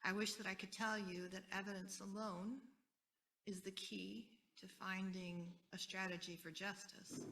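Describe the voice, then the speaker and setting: An American woman speaking as a panelist at a conference, earnest and deliberate, repeating key phrases for weight. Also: lowish voice, fairly bright tone, distant mic, a little hiss or hum, low-quality recording. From United States. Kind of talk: panel talk.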